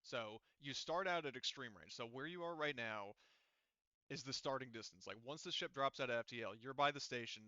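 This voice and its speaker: A man's voice: mid-range voice, fairly quick, bright, forceful tone, no echo, lo-fi sound. A man speaking informally as game master of a tabletop roleplaying game.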